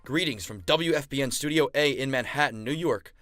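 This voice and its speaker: A man's voice: medium pitch, fairly quick, noisy background, lightly acted. A man playing a genial old-time radio announcer, hosting and narrating a scripted story over music. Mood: grand, welcoming.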